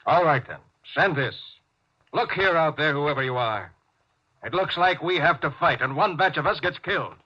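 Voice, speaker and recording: An actor playing a tense, brooding male starship captain in 1950s radio drama, halting and musing, then barking orders. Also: on the high side, lively intonation, highs cut off. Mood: defiant, urgent. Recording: noisy, band-limited 1950s radio recording.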